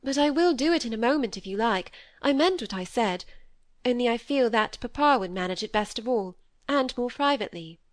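A woman voicing a gentle, earnest young woman in an audiobook, apologetic and loyal. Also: on the high side, expressive, a little fast, dry recording, clean studio sound, pitched above the performer's own voice, close to an everyday voice. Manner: earnest, soft-hearted. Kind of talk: acted audiobook dialogue.

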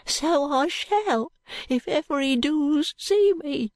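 Casting: female narrator playing a wretched, wary Cockney urchin in a storybook, high and breathy, halting and hushed. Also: expressive, no echo. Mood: wretched, defensive. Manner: hushed, halting, uneducated speech. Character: frightened, ragged London street boy, wretched and wary.

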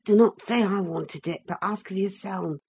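Woman voicing a gruff, bashful young countryman, pitched low. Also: moderate pace, natural voice. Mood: bashful pleading. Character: shy, rough young country man, awkward and wounded. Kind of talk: dramatised audiobook reading of a classic novel.

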